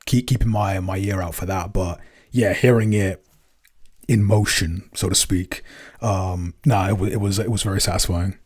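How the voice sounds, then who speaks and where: A man's voice: sits low, steady tone, normal speed, darkish tone, slightly rough. A man co-hosting a podcast in casual chat, hesitant, with many "um"s and restarts. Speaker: podcast co-host, producer.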